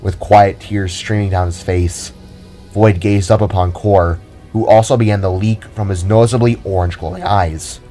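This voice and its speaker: A man's voice: deep voice, fairly even intonation, normal speed, dark tone, faint music behind. A man reading scripted, dramatic story narration for an amateur audio drama.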